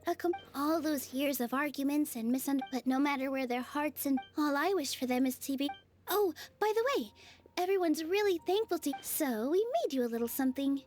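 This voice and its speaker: Woman doing a sweet young game dancer, high voice, gentle and encouraging, soft music behind. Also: brisk, lightly acted. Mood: grateful. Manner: encouraging, polite. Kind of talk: video game voice acting.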